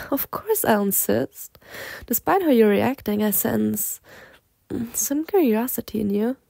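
A woman's voice: a little high, expressive, slow, gravelly, dry recording, full character voice. A woman playing a flirty, teasing, confident half-snake lamia in an ASMR roleplay, coaxing the listener. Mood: reassuring, flirty. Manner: flirtatious, coaxing, breaking into soft giggles and whispered asides.

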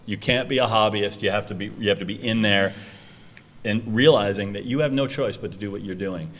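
Low-voiced man moderating a panel. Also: brisk. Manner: energetic, direct, talks to students. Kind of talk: casual panel talk. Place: university meeting room. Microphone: table microphone.

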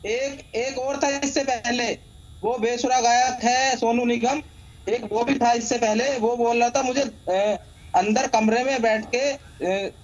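Combative man with very high-pitched voice. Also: steady tone, takes it slowly, rough recording. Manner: combative, repetitive, interrupting. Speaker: debate guest, Islamic scholar. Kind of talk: heated TV debate. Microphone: remote video call.

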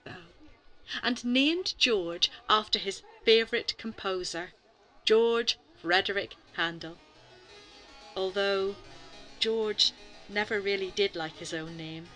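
A woman storyteller narrating a children's bedtime story in a dramatic, deliberate way, with pauses and breathy emphasis. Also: medium pitch, pushed and bright, low-quality recording. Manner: addresses young listeners with questions. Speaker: storyteller for a gallery's bedtime stories.

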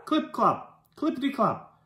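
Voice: mid-range voice, huge pitch swings, unhurried, heavy background noise. A storytime host reading a children's picture book aloud, acting out the dialogue expressively. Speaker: storytime reader. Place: living room. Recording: roomy indoor echo.

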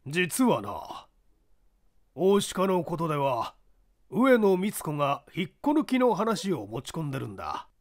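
Gruff middle-aged male newspaper boss played by a woman, scheming and blunt, slow delivery, varied pitch, for a radio story. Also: big pitch swings, exaggerated voice. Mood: stern, scheming. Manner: commanding, blunt orders.

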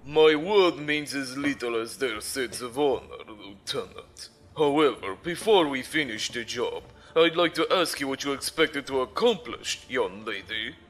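An actor playing a stern, arrogant commodore of a space base, speaking in a formal, pompous, commanding way in an audio drama. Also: male voice, mid-range voice, expressive, unhurried, few pauses, a bit bright. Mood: cold, menacing.

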